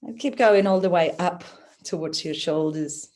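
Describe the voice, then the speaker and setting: A woman teaching yoga nidra, giving gentle, soothing, unhurried guidance through a relaxation practice. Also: lowish voice. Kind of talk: guided relaxation instruction.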